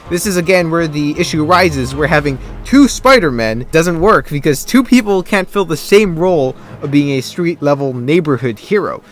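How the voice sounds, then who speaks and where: Young male reviewer, congested and stuffy, eager opinions, slightly high voice, soft music bed. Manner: enthusiastic, opinionated. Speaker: game reviewer. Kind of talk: scripted video review narration.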